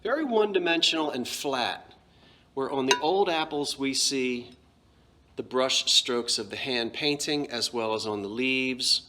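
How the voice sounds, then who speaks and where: A man's voice: mid-range voice, measured pace, bright, forceful tone, slight background noise. A man with a New Jersey accent, casually showing and describing antique dishes in a chatty, self-correcting way. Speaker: antiques seller. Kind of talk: casual show-and-tell vlog.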